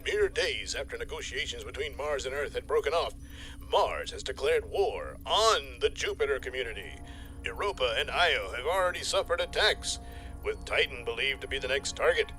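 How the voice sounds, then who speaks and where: A man's voice: mid-range voice, expressive, normal speed, sharp tone, faint music behind, poor audio. A man playing an urgent news flash announcer, declamatory and emphatic, in a comic sci-fi audio serial. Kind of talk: mock newsreel announcement.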